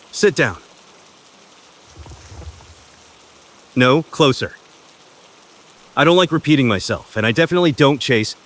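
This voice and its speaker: Male actor as confident, possessive professor for intimate ASMR roleplay. Medium pitch, smug, teasing, commanding, deliberate pauses. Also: lightly acted. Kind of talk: boyfriend ASMR roleplay, spoken intimately to the listener.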